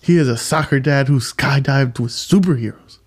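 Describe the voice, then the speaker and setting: A man co-hosting a casual movie podcast, animated and playful, often jumping in over his partner. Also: medium pitch, normal speed, slightly rough. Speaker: podcast co-host.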